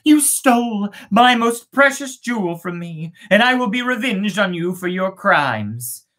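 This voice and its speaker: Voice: mid-range voice, sing-song, unhurried, fairly bright tone, voice pushed up. A storyteller voicing a vengeful young witch maiden from a fairy tale, with commanding, theatrical, scornful menace. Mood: vengeful, menacing. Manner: commanding, theatrical villain speech.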